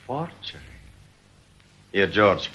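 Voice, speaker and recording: A man's voice: fairly low pitch, very animated, slow, fairly bright tone, husky, dull audio, heavily acted. An actor playing a suspicious, sardonic English ex-police inspector in a radio drama, needling whoever he talks to. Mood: sneering, suspicious. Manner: sardonic, needling, hesitant uhs. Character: suspicious, sneering male ex-police inspector turned security man. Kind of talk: British radio drama acting. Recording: faintly noisy radio drama recording.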